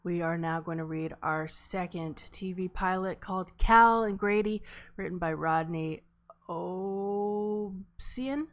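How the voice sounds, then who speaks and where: A woman's voice: fairly low pitch, slow pace. A woman casually hosting a live script table read.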